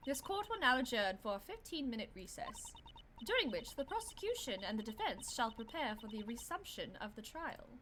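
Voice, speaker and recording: A woman voicing a calm, impartial female judge in a courtroom game, speaking formally and with measure. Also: a little high, a little fast, slight background noise. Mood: composed.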